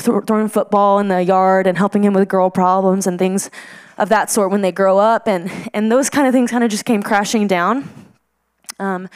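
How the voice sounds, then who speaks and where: A young woman from Texas, a youth ministry leader, giving a chatty, self-deprecating personal testimony to students with many fillers. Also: medium pitch.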